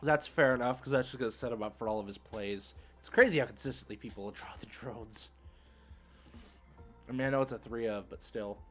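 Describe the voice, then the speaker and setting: A man's voice: medium pitch, a little fast. Casual male game streamer over music. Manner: informal, slangy, thinking aloud. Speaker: streamer hosting a card game livestream. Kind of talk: casual live game commentary.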